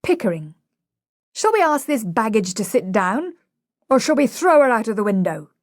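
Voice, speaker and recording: A woman voicing a bullying, impatient gentleman professor, brusque and peremptory, in a play reading. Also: fairly high pitch, very animated, normal speed, dry recording, studio quality, voice pushed up.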